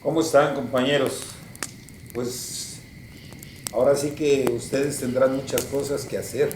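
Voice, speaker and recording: Echoey sound with clear noise around it carries a man acting as a city journalist in a radio play. His mid-pitched talk is colloquial and halting, like a casual interview. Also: level tone, lightly acted. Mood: casual curiosity. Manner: hesitant, colloquial. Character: informal city journalist interviewing guerrilla fighters. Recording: echoey, clearly noisy ambience.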